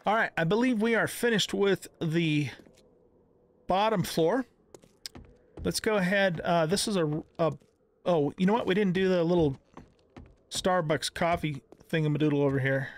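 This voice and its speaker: A man's voice: high-pitched, moderate pace, long pauses. A middle-aged man giving casual let's-play commentary, thinking aloud in a folksy, exclaiming way. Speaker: gaming channel host. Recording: clear background noise with clicks.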